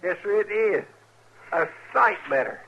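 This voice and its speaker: High gravelly voice, folksy querulous sidekick, rural American drawl, deferential, muffled vintage radio Western. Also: male voice, expressive, a little slow, heavily acted. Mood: indignant, whining. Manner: plaintive, fussy, deferential. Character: folksy, querulous, tender-hearted deputy sidekick to marshal. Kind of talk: old-time radio Western acting. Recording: dull vintage radio recording.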